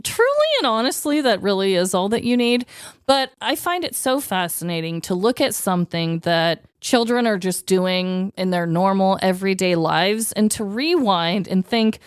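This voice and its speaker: A woman co-hosting a casual, comedic podcast, telling things in an animated, expressive way. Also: medium pitch, moderate pace, clear, resonant tone, dry recording, clean studio sound. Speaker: podcast co-host.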